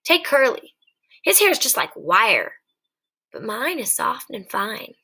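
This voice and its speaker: A woman's voice: a little high, very animated, slow, creaky, bright, forceful tone, breathy edge, heavily acted. Woman reading as a vain young ranch wife. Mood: chatty. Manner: chatty, self-satisfied.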